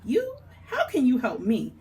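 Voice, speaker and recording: A woman's voice: fairly high pitch, wildly swooping pitch, a little slow, a little mellow, full character voice. A woman voicing an impatient, demanding, touchy monster in a picture book read-aloud. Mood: touchy, sarcastic.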